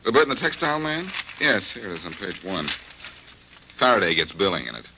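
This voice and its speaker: An actor playing a cool, dry-witted detective in a 1940s radio drama, full of teasing wisecracks. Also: male voice, low-pitched, sing-song, fairly quick, background noise. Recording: dull lo-fi 1940s radio broadcast.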